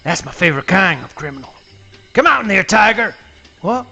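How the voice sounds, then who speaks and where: A man playing a blustering, boastful alligator in a live comic radio play, with a gravelly voice and folksy Southern swamp dialect. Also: sits high, sing-song, fairly quick, pushed and bright, soft background music, heavily acted. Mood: blustery. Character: blustering, boastful adult male cartoon alligator playing sheriff.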